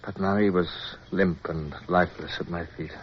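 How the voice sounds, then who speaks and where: An actor as a low-voiced, commanding British naval officer. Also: male voice, fairly even intonation, normal speed, natural voice. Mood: grave narration. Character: commanding British naval officer, resolute, narrating his own adventure. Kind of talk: radio drama acting and first-person narration. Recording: dull, noisy old radio recording.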